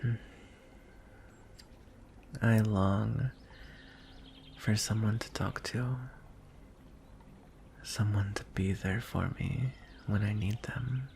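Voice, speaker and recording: Lonely, courtly dragon suitor acted by a man, fantasy ASMR; hushed, lowish creaky voice over faint noise. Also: fairly even intonation, heavily acted. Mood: wistful, longing. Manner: soft, hushed, breathy delivery with deliberate pauses. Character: lonely, courtly male dragon wooing a prince.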